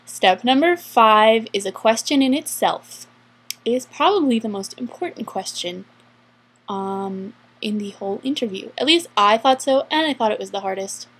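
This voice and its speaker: A young woman vlogger with a fairly high voice and a husky, breathy edge. She talks casually and cheerfully, like someone sharing advice with peers. She leans on key words and draws them out for emphasis. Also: expressive, normal speed, fairly bright tone. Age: young adult. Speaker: vlogger giving interview advice.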